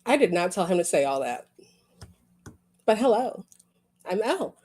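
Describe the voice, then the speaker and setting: A woman's voice: medium pitch, very animated, moderate pace, darkish tone, slightly rough. A woman presenting at an awards show in a casual, off-the-cuff way as one of its organisers.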